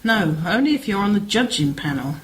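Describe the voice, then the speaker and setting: A woman's voice: mid-range voice, sing-song, fairly quick, a little hiss or hum, lightly acted, poor audio. A woman acting a chatty, concerned village sister in an amateur radio play, gossipy and persuasive.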